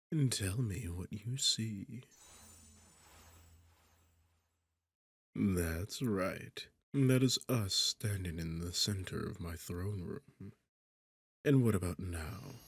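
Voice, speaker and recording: A man's voice: deep voice, no room sound, full character voice. A man playing an arrogant, sarcastic demon king in a seductive fantasy ASMR roleplay, with a smug, teasing, condescending manner. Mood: smug, boastful. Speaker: audio roleplay performer.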